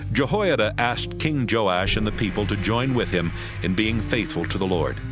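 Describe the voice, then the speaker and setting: A man with a lowish voice narrates a dramatized Bible account. His delivery is scripted and expressive, with lively movement in pitch, and music runs clearly underneath. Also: moderate pace. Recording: music bed behind voice.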